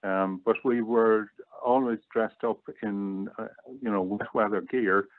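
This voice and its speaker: A man's voice: fairly low pitch, fairly even intonation, unhurried, no room sound. A middle-aged Irish man chatting casually as an interview guest, reminiscing over a call. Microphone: online call or phone line. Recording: muffled phone-like, dry online call.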